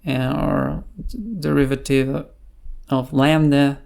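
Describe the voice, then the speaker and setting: A tutorial instructor explaining things off the cuff, in a halting way with pauses mid-phrase. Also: medium pitch, little pitch movement, creaky, darkish tone. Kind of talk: unscripted screencast tutorial explanation.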